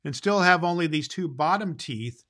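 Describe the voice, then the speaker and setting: A man's voice: fairly high pitch, lively intonation, moderate pace, pushed and bright. A man playing a jolly, kindly Santa Claus hosting a children's holiday show, folksy and rambling, repeating phrases for emphasis. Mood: jolly, excited. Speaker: host.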